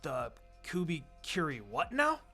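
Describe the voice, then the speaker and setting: A man's voice: on the high side, wildly swooping pitch, slow pace, sharp tone, heavily acted. A clueless young ninja boy, played by a man, blurting questions naively in a storybook reading. Music runs under his brash talk. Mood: confused, sheepish.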